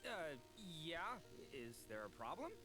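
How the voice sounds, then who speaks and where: A man's voice: a little high, very animated, a little slow. A man voicing a boisterous, cocky young brawler in a video game, brash and bombastic. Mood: indignant. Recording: game music behind.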